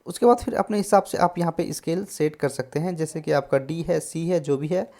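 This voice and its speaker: A man hosting a casual tutorial on music software, explaining step by step and often adding short check-in tags. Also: on the high side, fairly quick, creaky. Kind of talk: casual screen-recorded tutorial.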